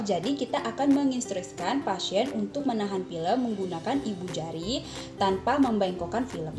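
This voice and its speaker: A young adult woman giving a polite, step-by-step instructional demonstration as a student. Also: medium pitch. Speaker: dental student demonstrating a clinical procedure. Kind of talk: instructional skills demonstration with role-played patient dialogue. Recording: roomy echo, music behind.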